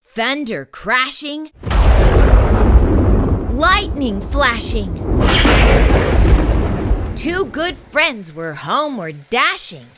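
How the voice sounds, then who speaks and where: A woman reads a bedtime storybook aloud for young children. Her voice is bright and swoops widely, and she takes her time with each phrase in a deliberate, animated way. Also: sits high. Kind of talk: scripted storybook narration, read aloud.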